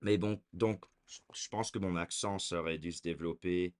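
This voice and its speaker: A man's voice: low voice, fairly even intonation, normal speed, darkish tone. A man in his twenties talking casually to a camera, hesitant, with frequent fillers and restarts. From raised in America. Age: 20s. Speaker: vlogger.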